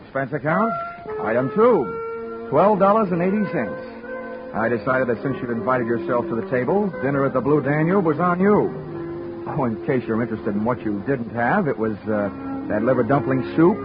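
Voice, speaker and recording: A man's voice: medium pitch, animated, moderate pace, lightly acted. An actor playing a dry, wisecracking insurance investigator who narrates his own case, in 1940s radio acting style. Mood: wry narration. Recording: muffled old radio recording with music.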